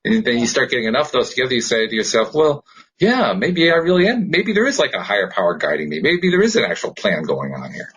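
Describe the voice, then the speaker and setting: A man's voice: medium pitch, very animated, fast talker, fairly bright tone, slightly rough, low-quality recording. A man co-hosting a podcast in a casual, encouraging way, animatedly acting out people's thoughts as he explains. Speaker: podcast co-host. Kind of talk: casual spiritual chat.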